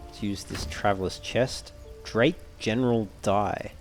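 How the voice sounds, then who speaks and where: A man's voice: lowish voice, a little slow, soft background music. A man giving casual commentary over a video game, sometimes reading item descriptions aloud. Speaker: let's play host.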